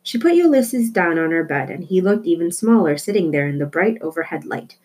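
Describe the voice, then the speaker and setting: A woman with a slightly husky voice reading a children's novel aloud as scripted narration. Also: fairly low pitch, animated, brisk, darkish tone. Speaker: storybook reader.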